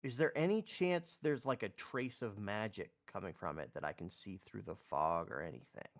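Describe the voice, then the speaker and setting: A man's voice: fairly low pitch, expressive, moderate pace. Casual man at a tabletop game. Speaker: player in a tabletop roleplaying game. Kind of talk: casual gameplay chat.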